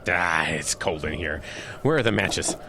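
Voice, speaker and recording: Noise sits behind a freezing, exasperated groom-to-be. His lowish voice moves animatedly as he frets and mutters to himself in horror radio-play fashion. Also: lively intonation, unhurried. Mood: fretful. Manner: fretful, self-talking. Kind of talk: horror audio drama acting. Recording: noisy dramatised scene.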